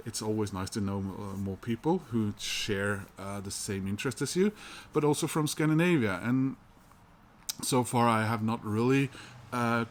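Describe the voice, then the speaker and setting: A man's voice: fairly low pitch, a little hiss or hum. A Norwegian man vlogging casually about his record purchases, talking loosely with many "uh" and "um" fillers. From Norway. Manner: loose and chatty, heavy with "uh" and "um" fillers. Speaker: vinyl collector vlogger. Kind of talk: casual rambling chat to camera.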